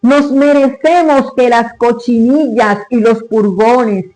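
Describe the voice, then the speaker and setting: Male reciter for a dramatic literary monologue: high register, unhurried, solemn and declamatory delivery. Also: steady tone, a little mellow, rough recording.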